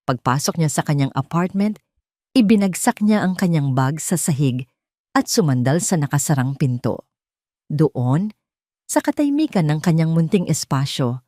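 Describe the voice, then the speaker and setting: A woman with a Filipino accent giving a dramatic scripted story narration in solemn, deliberate phrases. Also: sits low, mellow, no echo, studio quality.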